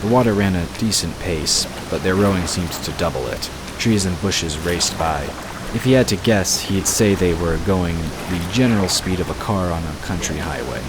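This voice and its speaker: Male narrator, low voice, measured fantasy storytelling, scripted, clearly noisy water backdrop. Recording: noisy, with water sound effects behind. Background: rushing water ambience.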